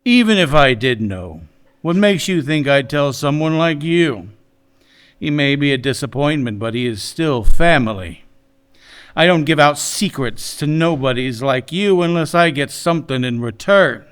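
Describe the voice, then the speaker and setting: A man's voice: on the high side, expressive, measured pace, fairly bright tone, clean tone. A man playing a smug, menacing crime boss in a noir radio drama, condescending and mock-polite.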